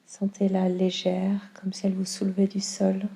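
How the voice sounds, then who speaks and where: A woman guiding a yoga nidra meditation in a calm, soothing, unhurried way with long pauses. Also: medium pitch, creaky. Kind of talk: slow guided meditation.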